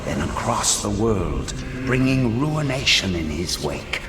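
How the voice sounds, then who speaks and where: Sinister game villain: very low, slow and silky, grand coaxing, echo over music. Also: male voice, very animated. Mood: calm, scheming. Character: sinister, silky male schemer coaxing a fallen king. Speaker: voice actor. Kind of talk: dramatic video game cutscene acting.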